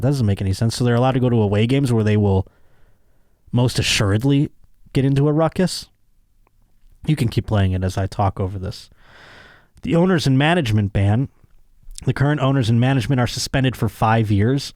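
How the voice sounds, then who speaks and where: An American man hosts a comedy show, moving between reading news copy and casual sardonic riffing. His pitch sits in the middle for a man, and he swears freely. The recording is dry and close, with very little room sound. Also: animated, fairly quick, darkish tone, dry recording. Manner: sardonic, profane. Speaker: podcast host.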